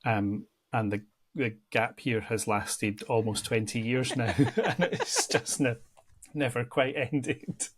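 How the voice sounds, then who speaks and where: A Scottish man with an Edinburgh-area accent talks thoughtfully as a podcast guest. His voice sits at an ordinary middle pitch. He pauses often with ums and starts sentences over as he thinks. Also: background noise. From Scotland, near Edinburgh. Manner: thoughtful, with frequent ums and restarts. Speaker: guest, church ministry worker. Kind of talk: reflective podcast interview.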